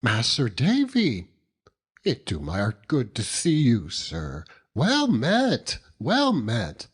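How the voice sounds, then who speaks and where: A man's voice: mid-range voice, huge pitch swings, slow pace, breathy edge, exaggerated voice. A man voicing a humble, devoted old fisherman with speech, earnest and plain-spoken, for an audiobook. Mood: warm greeting. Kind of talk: audiobook character reading.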